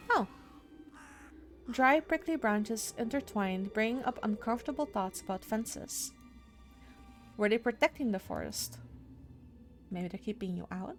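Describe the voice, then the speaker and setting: A woman game streamer reading a horror visual novel aloud, dramatically, with spontaneous asides. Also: medium pitch. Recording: music behind the voice. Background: game music.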